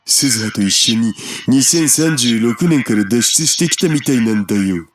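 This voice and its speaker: A man's voice: medium pitch, expressive, fast, natural voice. A male actor voicing an evasive, easygoing young friend in a Japanese visual novel, in a hesitant, guarded drawl. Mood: matter-of-fact.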